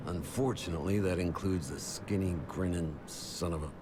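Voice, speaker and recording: A man acts a military squad mate for a video game. He talks in a fairly low voice, blunt and slangy, always ribbing his comrade. There is clear noise behind him. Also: moderate pace, close to an everyday voice. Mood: wry, grudging. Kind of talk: video game character dialogue.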